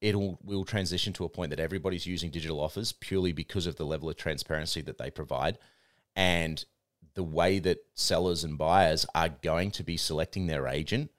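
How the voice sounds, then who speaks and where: A man's voice: low-pitched, steady tone, fairly quick, dry recording, clean studio sound. A man co-hosting a podcast, chatting casually and often restarting or repeating words. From New Zealand. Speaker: podcast co-host, real estate trainer. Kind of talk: casual chat.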